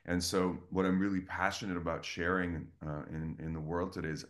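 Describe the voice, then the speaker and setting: A man's voice: sits low, flat delivery, a little fast. A man from Estonia, a guest coach, talking earnestly and effusively in a casual interview over a video call. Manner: earnest, effusive, many restarts.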